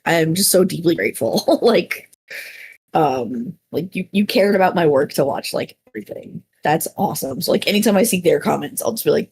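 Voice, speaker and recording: A woman's voice: low voice, gravelly, a little mellow, husky. A woman chatting casually on a panel in an effusive, rambling, self-deprecating way. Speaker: panelist, small content creator and voice actor. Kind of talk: casual unscripted podcast chat.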